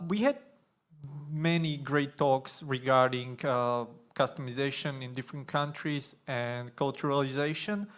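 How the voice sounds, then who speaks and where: A young man from Slovakia giving a conference talk on stage, nervous and halting, with many fillers and restarts. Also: mid-range voice, fairly bright tone. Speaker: conference speaker.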